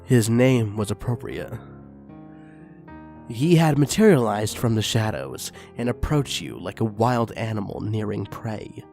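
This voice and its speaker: A man's voice: lowish voice, animated, unhurried, darkish tone, faint music behind. Man reading a story aloud. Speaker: narrator reading fan fiction.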